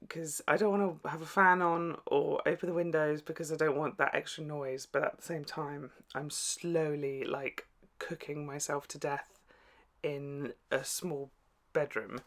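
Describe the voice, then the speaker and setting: A man's voice: a little high, steady tone, unhurried, fairly bright tone. A young man giving casual, enthusiastic reaction commentary as host, recorded in a small bedroom. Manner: enthusiastic, gushing. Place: small bedroom, windows shut.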